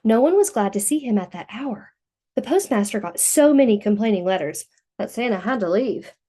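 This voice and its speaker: A woman reading a picture book aloud to young children in an expressive storytelling manner. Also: fairly high pitch, moderate pace.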